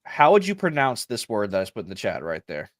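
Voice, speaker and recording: Teasing Southern-accented co-host. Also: male voice, on the low side, huge pitch swings, fast, no echo, studio quality. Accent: Louisiana Southern. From Louisiana. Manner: teasing, joking. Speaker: podcast co-host. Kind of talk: casual livestream chat.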